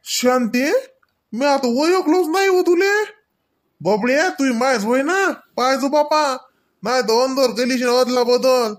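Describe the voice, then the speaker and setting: A man's voice: very high voice, slow pace. A man playing a playful older village husband and father in a comedy cartoon, with a Varhadi Marathi accent and a folksy, rambling, mock-complaining way of talking. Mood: amazed, mock-complaining. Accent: Varhadi Marathi from Vidarbha.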